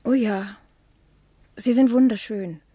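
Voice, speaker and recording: A woman's voice: a little high, slow, dark tone, husky. An actress in a German radio drama playing a shy, naive ageing spinster niece, hesitant and plaintive in her speech. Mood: shy, pleased.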